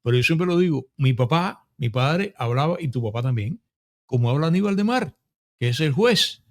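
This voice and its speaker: Gravelly Cuban man hosting an interview. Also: fairly high pitch, moderate pace. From Cuba. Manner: animated storyteller, full of restarts and asides. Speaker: podcast host and interviewer. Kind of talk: casual conversational interview.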